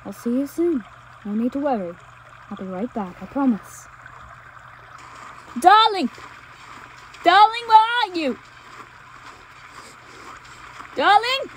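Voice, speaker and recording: A woman playing a devoted, anxious young pastor's daughter on the run in an intimate audio drama, speaking tenderly and emotively with halting pauses. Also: high-pitched, lively intonation, slight background noise, poor audio. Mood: reassuring, then panicked. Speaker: audio roleplay performer. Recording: nature ambience with trickling stream water. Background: outdoor ambience sound effects, running water.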